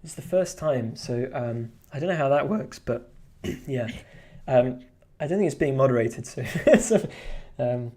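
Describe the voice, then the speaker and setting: A man's voice: mid-range voice, lively intonation, unhurried, warm tone. A man chatting casually while drawing on a live stream, rambling with lots of fillers and restarts. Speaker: streamer and artist.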